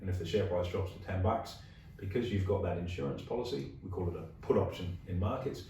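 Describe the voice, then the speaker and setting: A hoarse, gravelly-voiced man with an Australian accent, hosting an investing podcast and explaining ideas through everyday examples. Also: deep voice, fairly quick, mellow, heavy reverb, recorded from a distance, a little hiss or hum, poor audio. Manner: explains with everyday analogies, frequent restarts. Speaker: podcast host. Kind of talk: casual investing podcast chat.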